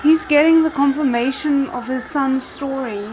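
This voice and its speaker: A woman's voice: high-pitched, normal speed, darkish tone, a little hiss or hum. A woman hosting a reaction video, commenting casually and thinking aloud in a hesitant way.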